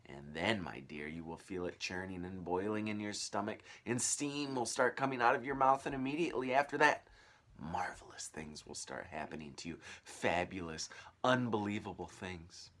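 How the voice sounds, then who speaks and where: Storybook old man acted by a male reader: mysterious, conspiratorial, pressing, low-voiced. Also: normal speed. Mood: hushed, excited. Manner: hushed and conspiratorial, swelling to urgent commands. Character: mysterious old man urgently giving secret magical instructions. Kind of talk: children's storybook read-aloud.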